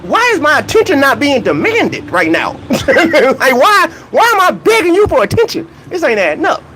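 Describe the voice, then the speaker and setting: A man's voice: very high-pitched, wildly swooping pitch, normal speed, pushed and bright, slight background noise. A young man rants in a casual, comedic way about track and field. He laughs between points and keeps asking rhetorical questions.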